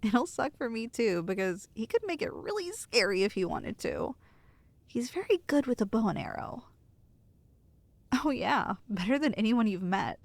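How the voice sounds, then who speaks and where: A woman's voice: on the high side, very animated, moderate pace, gravelly, no room sound, exaggerated voice. A woman playing an affectionate, teasing girlfriend who soothes a nervous partner in an intimate ASMR roleplay. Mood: tender reassuring. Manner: tender, playful, teasing, reassuring.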